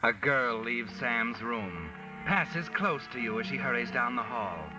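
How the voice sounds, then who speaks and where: An actor playing a sardonic, insinuating narrator of a 1940s radio suspense drama, telling the story ominously. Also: male voice, mid-range voice, animated, measured pace, natural voice, low-quality recording. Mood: ominous, suspenseful. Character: sardonic male mystery narrator addressing the guilty man as you. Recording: vintage 1940s radio recording with music.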